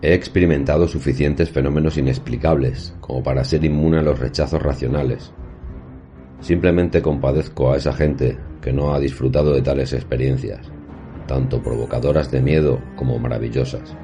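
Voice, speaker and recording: Casting: male actor for scholarly professor narrating horror fiction, extremely low, dark voice, formal and literary, light music underneath. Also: steady tone. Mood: grave, reflective. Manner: formal, measured, literary diction. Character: erudite male professor recounting his paranormal experiences. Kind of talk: scripted horror audio drama narration.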